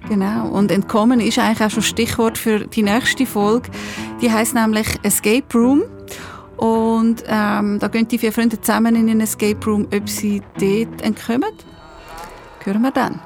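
A woman with a Swiss German accent casually co-hosts a podcast outro. Her voice is a little high, and faint guitar music runs behind it. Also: steady tone, moderate pace, darkish tone. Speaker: podcast co-host.

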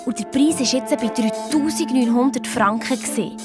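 A woman playing an anxious, excitable young girl detective with a Swiss German accent, telling her adventure in a children's radio play. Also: a little high, normal speed, lightly acted. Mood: matter-of-fact. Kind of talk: first-person children's radio play narration. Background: music.

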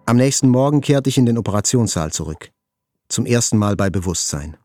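An actor playing a wounded journalist, narrating his hospital recovery in a measured, reflective literary style. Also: mid-range voice, animated, warm tone, faint music behind, lightly acted. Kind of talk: scripted literary narration, radio play.

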